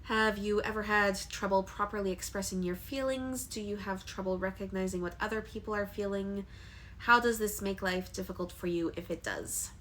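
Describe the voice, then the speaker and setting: A woman's voice: mid-range voice, steady tone, normal speed, fairly bright tone, slight background noise. A young woman with a congested voice from allergies, talking candidly to camera in a personal vlog, hesitant with frequent ums. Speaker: vlogger.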